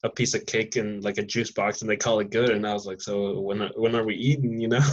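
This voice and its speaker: A man from Mexico and Nebraska hosts a relaxed podcast, his voice sitting on the low side. He rambles and restarts his thoughts with plenty of fillers, and the recording sounds muffled and lo-fi. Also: brisk. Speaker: podcast host. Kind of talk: casual conversation.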